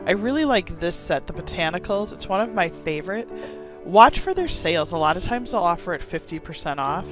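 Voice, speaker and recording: A hoarse woman from Wisconsin hosting a casual card-making tutorial, talking with gushing enthusiasm. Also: lowish voice, fairly bright tone. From Wisconsin, USA. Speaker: craft channel host.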